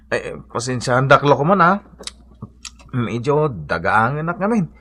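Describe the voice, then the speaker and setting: A man acting in an Ilocano radio comedy as a jolly, boastful father hooked on cockfight betting, with a husky, exaggerated comic delivery. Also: medium pitch, very animated, unhurried, fairly bright tone. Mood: joking, teasing.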